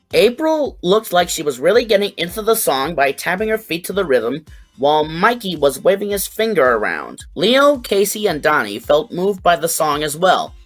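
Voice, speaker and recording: Casting: story narrator, scripted delivery, animated pitch, faint music bed.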